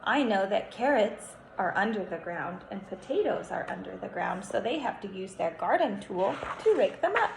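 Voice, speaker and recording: Slow-paced young kindergarten teacher, a woman. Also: mid-range voice, gravelly. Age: young adult. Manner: animated, instructive, stretches words for emphasis. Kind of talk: read-aloud lesson for young children. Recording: roomy echo, faint background noise.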